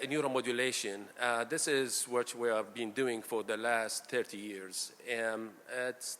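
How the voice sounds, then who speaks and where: Middle-aged man lecturing in an echoing hall. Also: medium pitch. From Iraq. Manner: halting, frequent fillers, warm and gracious. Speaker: pain physician giving a conference talk. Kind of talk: conference lecture. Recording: reverberant hall, faint room noise.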